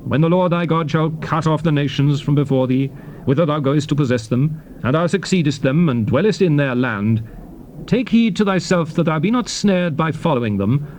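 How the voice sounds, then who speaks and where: A man delivers a solemn dramatic scripture reading in a medium-pitched voice. He is stately and emphatic, setting out short measured phrases with very lively intonation. A faint hiss of old cassette tape runs behind him. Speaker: narrator of a dramatized Bible reading.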